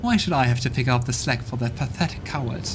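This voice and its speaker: A man's voice: mid-range voice, fast, background noise, lightly acted. A man voicing an arrogant, haughty female demon who talks with contempt, over game music. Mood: scornful.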